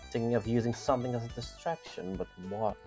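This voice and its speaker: Man as a thoughtful mid-pitched cartoon strategist. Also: steady tone, fast talker, a little mellow, husky, faint music behind, natural voice. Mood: pondering. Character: thoughtful, cautious male strategist. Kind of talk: amateur comic dub acting.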